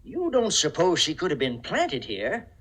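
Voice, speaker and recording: High-voiced, fretful businessman. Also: huge pitch swings, a little slow, a bit bright, exaggerated voice. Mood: suspicious, fretful. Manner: hesitant, hedging. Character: timid, suspicious, fretful male office partner. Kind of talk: 1950s radio comedy acting. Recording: vintage 1950 radio broadcast.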